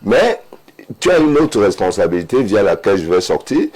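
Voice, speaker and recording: A man's voice: fairly low pitch, big pitch swings, normal speed, a little mellow, husky. A man, a guest speaking emphatically in a studio debate, often repeating words as he starts. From Cameroon. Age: 50s. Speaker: panel guest.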